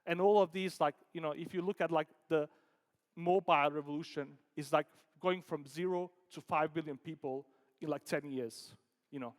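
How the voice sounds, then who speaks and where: A man from Denmark giving an informal conference talk as a startup founder, halting and conversational, peppering sentences with filler words like "like" and "you know". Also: a little high, fairly even intonation. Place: conference hall stage. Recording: echoey hall with long reverb.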